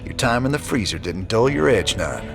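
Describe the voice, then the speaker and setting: Gravelly, folksy rebel commander. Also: male voice, medium pitch, big pitch swings, moderate pace. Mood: friendly. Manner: folksy, dry, familiar. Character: easygoing, gruff rebel commander, loyal old friend. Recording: loud music behind the voice.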